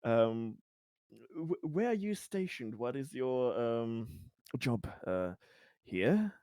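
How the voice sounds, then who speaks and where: A man improvising in-character dialogue as a player in a tabletop game, speaking haltingly in courteous, slightly formal phrasing. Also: mid-range voice, big pitch swings, warm tone, smooth, dry recording, studio quality.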